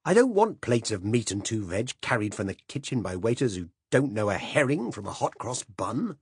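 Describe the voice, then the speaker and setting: A man's voice: on the low side, big pitch swings, moderate pace, breathy edge, no echo, studio quality, voice pushed up. A man reading aloud voices a blunt, domineering older man who growls his opinions at the dinner table. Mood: gruff commanding. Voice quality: growling, commanding.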